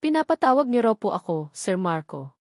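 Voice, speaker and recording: A woman's voice: medium pitch, big pitch swings, brisk, a little mellow, no room sound, lightly acted. A woman reads as a middle-aged housekeeper in a story drama. She is deferential and full of remorse, pleading with her employer. The recording is dry. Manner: pleading, respectful.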